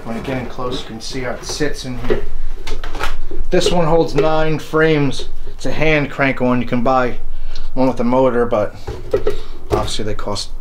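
A middle-aged man with an ordinary mid-range voice walks through a job as he does it, relaxed and plain-spoken, pausing and restarting as he thinks aloud. He is recorded on a camera mic in a wooden garage, often turned away from it. The clicks and clatter of his tools come through clearly behind him. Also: mid-range voice, background noise. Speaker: hobbyist beekeeper. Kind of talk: casual hands-on demonstration. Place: cluttered wooden garage workshop, indoors. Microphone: camera mic, speaker often turned away.

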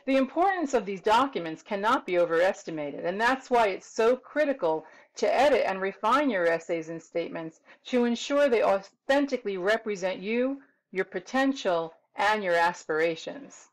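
A woman's voice: medium pitch, animated, moderate pace, few pauses, low-quality recording. A middle-aged American woman from New York City giving an articulate, upbeat scripted introduction to her services as a tutor, recorded in a small room at home. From New York City, United States. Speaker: tutor and writing consultant. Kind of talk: scripted self-promotional introduction to camera.

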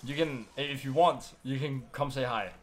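A man's voice: mid-range voice, normal speed, slight background noise. A young man from Denmark chatting casually as he streams a shooter game. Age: young adult. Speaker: professional gamer and streamer. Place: indoor room at a computer.

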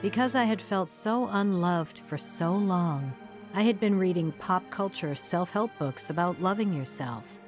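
A woman looking back on a troubled youth. Her voice is fairly low, reflective and confiding, in dramatized first-person narration with music clearly behind it. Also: animated, unhurried.

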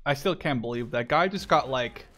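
Man chatting over loud game gunfire. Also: medium pitch, lively intonation, normal speed, a little hiss or hum. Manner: rambling, repeats words, swears freely. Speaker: video game player. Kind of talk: casual gaming chat with a teammate. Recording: loud gunfire and explosions behind voice. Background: video game gunfire and artillery.

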